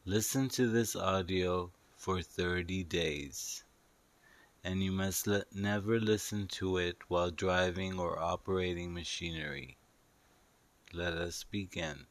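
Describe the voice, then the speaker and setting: Very slow, low-pitched man guiding meditation. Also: fairly even intonation. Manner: soothing, instructive, repeats phrases slowly. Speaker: guided meditation narrator. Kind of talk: guided meditation, hypnotic scripted narration.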